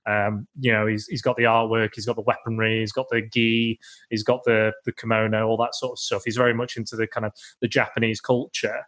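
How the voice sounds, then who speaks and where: A man's voice: on the low side, flat delivery, fairly quick, fairly bright tone. Rambling man, casual film chat. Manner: rambling, many restarts and ums. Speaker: podcast co-host.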